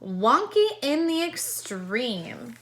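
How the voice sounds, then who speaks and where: A bewildered cinema ticket lady from a children's storybook, acted out by a woman reader. She is hesitant and puzzled, drawing her words out slowly in a bright voice. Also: high voice, big pitch swings, heavily acted. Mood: puzzled, wary. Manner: halting, puzzled, slowly drawn out.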